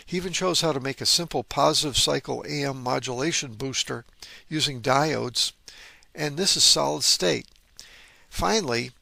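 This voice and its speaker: A man's voice: fairly high pitch, vocal fry, bright, forceful tone, no echo. A man hosting a hobby channel, narrating informally with an enthusiast's interest and wry asides. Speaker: host of a vintage radio hobby channel. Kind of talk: informal narration on vintage radio gear.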